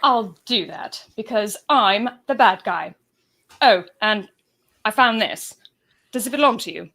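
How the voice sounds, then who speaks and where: A woman playing a cool, teasing, deadly villainess in a comedic space-opera table read, with deadpan delivery. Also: medium pitch, unhurried, full character voice. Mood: playful, casual.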